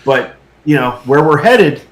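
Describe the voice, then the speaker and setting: A middle-aged man in casual conversation as a guest, earnest and halting, often checking that he is understood. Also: a little high, lively intonation. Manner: hesitant, earnest, checks for agreement. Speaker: guest filmmaker. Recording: echoey, roomy sound.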